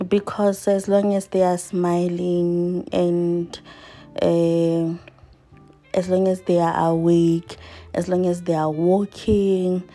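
A woman in her thirties talks to her viewers in a slow, unhurried way, her voice mellow and low. She sounds earnest and reflective as she does chores in her home kitchen, picked up by a camera across the room, with soft music faintly underneath. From South Africa. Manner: earnest, reflective, speaks while washing dishes. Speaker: vlogger. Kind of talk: casual chat to viewers while doing chores.

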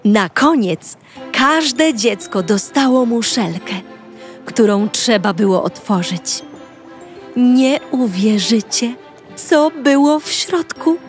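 A Polish-accented woman narrates a children's bedtime story in the first person, sounding like a cheerful young girl. Her voice sits slightly high, and she takes her time over every phrase while light music plays beneath. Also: animated, slow pace. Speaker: audio storyteller.

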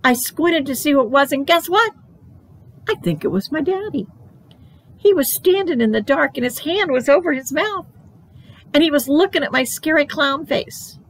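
Woman playing an excitable little storybook girl, swooping pitch. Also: moderate pace, low-quality recording. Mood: excited, gleeful. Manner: childlike, breathless, gushing phrasing. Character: excitable young girl telling her Halloween night. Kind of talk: first-person children's storybook narration.

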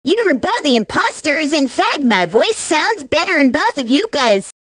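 A woman's voice: high-pitched, very animated, normal speed, a bit bright, no echo, clean studio sound. A woman voicing a smug, sassy cartoon hedgehog girl. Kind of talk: cartoon character dialogue.

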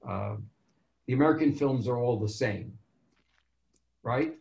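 A man's voice: mid-range voice, measured pace, creaky, darkish tone, highs cut off. Older man, frank and rambling, roomy echo. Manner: rambling, frank, with self-corrections and chuckles. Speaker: filmmaker panelist. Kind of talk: casual panel discussion. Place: home room on a video call. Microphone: laptop webcam mic on video call. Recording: roomy echo on a video call.

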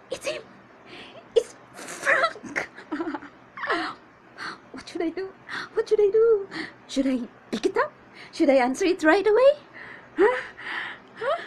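Woman acting high-pitched, dramatic, lovesick chatty woman. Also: a little mellow, slight background noise, heavily acted. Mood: flustered. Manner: dramatic, exasperated, drawn-out rhetorical questions. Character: anxious, needy chatty woman waiting on a suitor's call. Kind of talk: comedic monologue, theatrical amateur acting.

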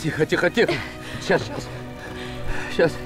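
Actor doing earnest plain-talking small town guy, protective, highish voice, film drama with music behind. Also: brisk, natural voice. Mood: anxious, soothing. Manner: colloquial, plain-spoken. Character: earnest, protective provincial man, loyal childhood friend. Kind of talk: naturalistic screen drama acting. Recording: film soundtrack with background music.

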